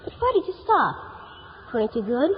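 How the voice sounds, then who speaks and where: A woman's voice: high-pitched, big pitch swings, normal speed. A woman playing an eager, polite young boy in a 1950s radio drama, earnest and full of questions. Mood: polite, curious. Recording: muffled, noisy 1950s radio recording.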